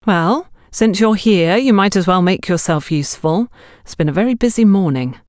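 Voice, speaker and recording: A brisk, bossy shop woman, as a woman narrator voices her, speaks curtly and with disapproval. The pitch is raised and animated, in audiobook style. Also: no room sound, clean studio sound, lightly acted. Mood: brisk, disapproving. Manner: curt, bossy. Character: brisk, disapproving female shop colleague in charge.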